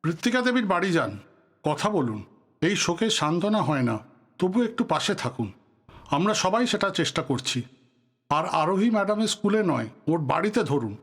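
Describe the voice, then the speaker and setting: Radio play politician, male actor: high male voice, courteous but guarded, authoritative, a touch of reproach. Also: sing-song, moderate pace, husky, full character voice. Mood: authoritative, helpful. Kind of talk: Bengali audio drama acting.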